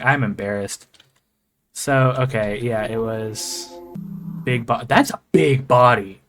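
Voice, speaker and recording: A man giving casual reaction commentary in a halting way, often repeating himself and mildly swearing. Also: on the high side, a bit bright. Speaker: reactor, video creator.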